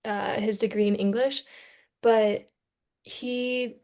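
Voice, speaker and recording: A woman's voice: on the high side, fairly even intonation, slow pace, darkish tone. A young woman in a casual group discussion, speaking informally and with enthusiasm as a student on a podcast. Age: young adult.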